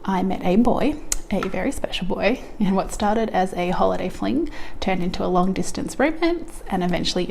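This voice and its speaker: A woman in her early thirties with an Australian accent talks casually to her viewers. Her voice sits on the low side and often drops into a creaky fry. Clear background noise runs under the homemade vlog recording. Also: a little mellow. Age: early 30s. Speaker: vlogger introducing herself on a couponing channel. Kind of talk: casual scripted-feeling chat to camera.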